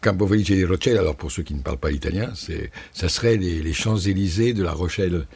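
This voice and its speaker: Deep gravelly older Parisian man. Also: a little mellow. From France. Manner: measured, storytelling, erudite. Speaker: guest. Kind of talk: conversational interview.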